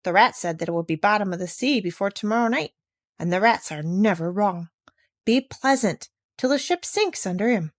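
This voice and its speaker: A woman voicing a wise male owl who gives advice in a hushed, urgent way in a storybook reading. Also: medium pitch, animated, moderate pace, no room sound, studio quality, natural voice.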